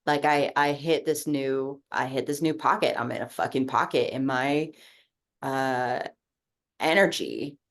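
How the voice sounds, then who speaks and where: A woman's voice: very deep voice, fairly even intonation, a little slow. A woman sharing in a group coaching video call, talking in a casual, reflective way, full of fillers and easy swearing. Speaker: coaching participant.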